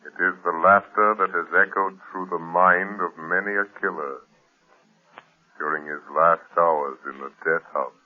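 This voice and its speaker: An actor playing a mysterious, all-knowing invisible avenger in a 1930s radio drama, speaking with a slow, taunting, ominous delivery. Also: male voice, sits low, fairly even intonation. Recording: tinny lo-fi 1930s radio broadcast.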